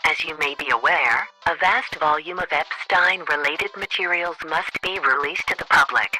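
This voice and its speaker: A man playing an officious government chief of staff, reading formal, deadpan orders as a voicemail in a satirical radio comedy. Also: fairly high pitch, normal speed, rough recording.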